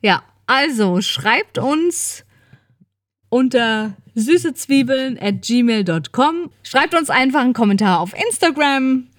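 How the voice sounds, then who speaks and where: A woman's voice: sits high, lively intonation, slow, no echo. Chatty woman co-host, very dry sound. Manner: chatty, informal. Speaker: podcast co-host. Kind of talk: casual chat.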